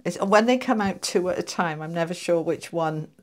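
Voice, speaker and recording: A woman's voice: fairly low pitch, normal speed. A woman doing a casual tarot reading to camera. She sounds congested from allergies and chats with her viewers in a friendly, reassuring way. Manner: chatty, reassuring, addresses viewers as guys. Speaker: tarot reader.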